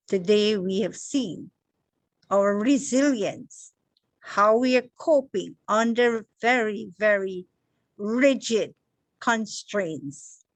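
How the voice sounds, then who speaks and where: A woman's voice: mid-range voice, dry recording. A woman from Guyana, giving an inspirational spiritual talk as a meditation teacher, stressing her words one at a time in emphatic lists.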